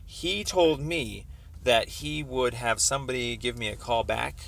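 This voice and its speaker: A man on a recorded phone call explaining a complaint as a caller, in a halting, wordy, roundabout way. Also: mid-range voice, gravelly, fairly bright tone. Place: telephone call.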